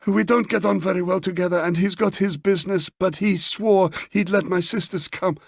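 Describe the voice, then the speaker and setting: A man's voice: sits high, steady tone, normal speed, vocal fry, dry recording, studio quality, voice pushed up. A man voicing an anxious, desperate woman visitor with formal, insistent phrasing in an audiobook story. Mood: pleading, troubled.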